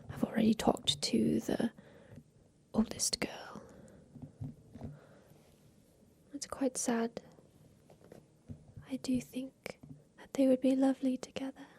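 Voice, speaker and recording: A woman playing an aging, wistful inventor confined in a haunted attic, whispering softly and confidingly in an ASMR roleplay about revenge. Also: sits high. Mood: resolute, wistful. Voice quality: soft breathy whisper. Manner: slow, wistful, confiding, halting.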